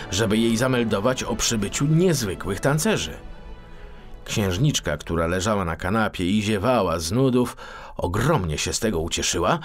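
A man narrating a children's fairy tale as an expressive audiobook storyteller. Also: fairly low pitch.

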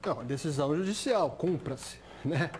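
Chatty TV sports host, higher voice. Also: male voice, animated, moderate pace, a little mellow. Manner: conversational, frequent "né?" tags. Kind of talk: studio sports talk, reading viewer messages. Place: TV studio, standing.

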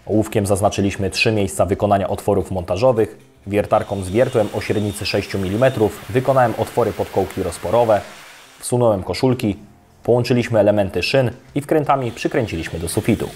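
A young adult man giving a calm, scripted step-by-step voice-over for an electrical installation video. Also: on the low side, fairly quick, a little hiss or hum. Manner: calm, even, step-by-step instructional delivery. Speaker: electrician presenting a how-to video. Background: soft guitar music.

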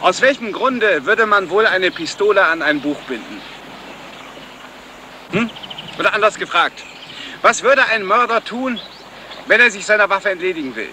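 An actor playing a self-assured, pedantic detective sergeant who lectures emphatically, in the style of a 1970s radio crime play. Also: male voice, high-pitched, lively intonation, normal speed, pauses often, bright, forceful tone, full character voice. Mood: smug, emphatic. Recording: faint noise, outdoor boat ambience.

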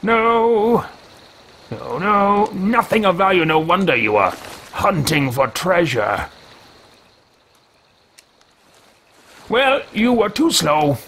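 A man's voice: sits high, sing-song, takes it slowly, a bit bright, a little hiss or hum, voice pushed up, exaggerated voice. A man voicing a formal male mage adventurer who mutters to himself in stately phrases while roleplaying a game. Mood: pleased.

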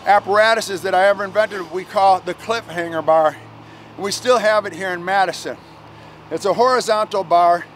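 Energetic man in his forties, high voice, fitness coach outdoors, faint traffic behind. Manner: energetic, emphatic, casual slang. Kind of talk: outdoor workout demonstration. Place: outdoor park playground.

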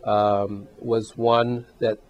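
An older man, a heart failure physician, explaining things in a measured way in a hospital exam room. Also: on the low side, flat delivery, darkish tone. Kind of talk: interview testimonial. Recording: slightly dull sound.

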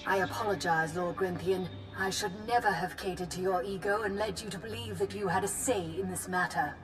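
A ruthless Imperial admiral acted by a woman commands in a formal, sardonic manner at an ordinary female pitch. Loud music plays behind this sci-fi game cutscene. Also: steady tone, a little fast, lightly acted. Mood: icy sarcasm. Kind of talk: video game cutscene acting.

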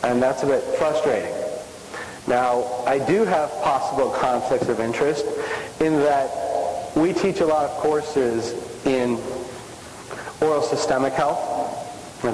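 A middle-aged man with a loud voice giving an energetic conference lecture, talking conversationally and asking the audience questions. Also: mid-range voice. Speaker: conference speaker. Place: large hotel ballroom. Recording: echoey hall with faint hiss.

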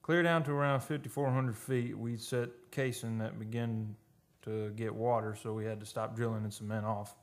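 Folksy roughneck played by a man, radio drama. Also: lowish voice, steady tone, moderate pace, hardly any pauses, slight background noise, natural voice. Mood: musing, storytelling. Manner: folksy, colloquial. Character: rough, folksy male oil roughneck telling his tale. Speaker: actor and narrator in radio play. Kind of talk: old-time radio drama acting, first-person storytelling. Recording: live radio studio performance with music.

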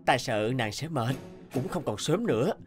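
A male actor playing earnest, dramatic young noblemen in an animated fairy tale, with formal theatrical delivery. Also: medium pitch, normal speed, faint music behind, close to an everyday voice. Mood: brooding, earnest.

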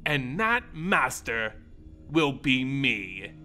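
A man's voice: medium pitch, very animated, sharp tone, soft background music, pitched below the performer's own voice, full character voice. A man voicing a cruel, sneering female vampire lord in a fantasy story, gloating and drawing out the words with menace. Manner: menacing, gloating, drawn-out delivery.

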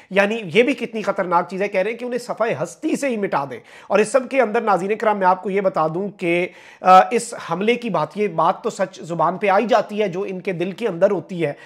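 A young man from Pakistan with a Pakistani Urdu accent, presenting online news commentary on a lapel mic in a small indoor studio. He reads the updates steadily and breaks off into asides to his viewers with filler pauses. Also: high-pitched. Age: young adult.

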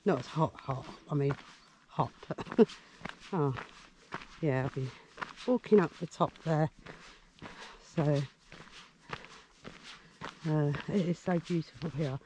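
A man on a hillside, rambling cheerfully into a handheld camera with lots of ums and little laughs. His voice sits a touch higher than most men's, and faint outdoor noise hums behind him. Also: darkish tone, low-quality recording. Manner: chatty and rambling, many fillers, chuckles to himself. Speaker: vlogger, hiker. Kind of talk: casual vlog talk to camera. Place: outdoors on a mountain path.

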